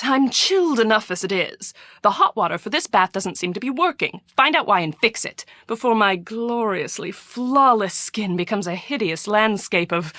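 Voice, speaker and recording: A woman's voice: on the high side, a bit bright, no room sound, studio quality. An actress in a video game playing a pampered, imperious rich woman who dotes on her little dog, speaking theatrically and giving orders. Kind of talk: scripted video game voice acting.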